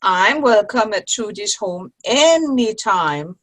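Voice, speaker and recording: A woman's voice: medium pitch, lively intonation, slow pace. A woman playing a cheerful, teasing grandmother among old friends in an amateur comedy play reading. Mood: fond. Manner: good-humored, teasing. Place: online video-call play reading.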